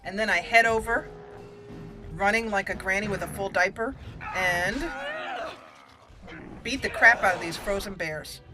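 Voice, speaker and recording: A woman hosting a gaming channel, giving casual, playful let's play commentary in an excitable, chatty way. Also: mid-range voice, measured pace, long pauses. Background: game music under the voice.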